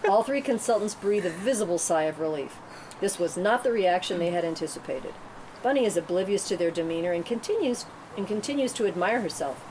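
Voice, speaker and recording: Low-voiced woman reading stage directions. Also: expressive, brisk. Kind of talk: scripted narration at a table read. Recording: clearly noisy room recording.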